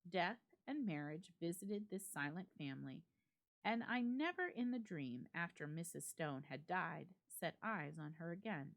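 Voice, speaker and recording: Woman doing a dramatic ghost story reading, lowish voice, pitch swings around a lot. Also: lively intonation, normal speed. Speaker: library staff reader.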